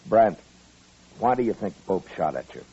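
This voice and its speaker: Deep, stern Old West lawman. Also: sing-song, normal speed, dark tone, breathy edge, heavily acted. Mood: firm, probing. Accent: American. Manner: firm, probing, authoritative. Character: stern, level-headed Old West lawman, a grown man. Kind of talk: 1950s radio western acting. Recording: muffled old-time radio recording.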